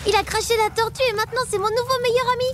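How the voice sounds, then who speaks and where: Very high, cheerful little boy. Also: fairly even intonation, rapid-fire, over music. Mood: playful, innocent. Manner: childlike, whimsical. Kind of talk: animated cartoon dub acting.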